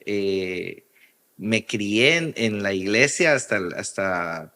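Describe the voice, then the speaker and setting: A man from Guatemala, an interview guest telling his story in a halting way, often repeating the starts of phrases. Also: lowish voice, expressive, pushed and bright. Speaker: guest, music producer and worship singer. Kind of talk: casual interview, personal storytelling.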